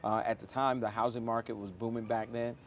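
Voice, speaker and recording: A middle-aged American man speaks in a medium-pitched voice with faint noise around him. He talks like a seasoned interview guest, explaining through examples and stories. His delivery is emphatic and motivational while staying conversational. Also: level tone, a little fast. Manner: emphatic, motivational, uses examples and rhetorical questions. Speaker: interview guest, airport chief executive. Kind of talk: conversational interview answers, storytelling.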